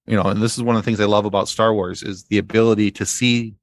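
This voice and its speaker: A man's voice: fairly low pitch, steady tone, quick pace, dry recording. A man in a casual fan discussion as a podcast guest, rambling and circling back to repeat his phrases.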